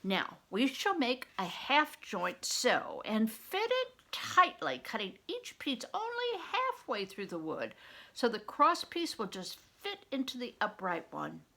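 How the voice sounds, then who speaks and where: A woman's voice: on the high side, wildly swooping pitch, takes it slowly, vocal fry, pushed and bright, voice pushed up, exaggerated voice. An older woman reading the part of a helpful monk carpenter in a storybook, patient and instructive, with archaic wording.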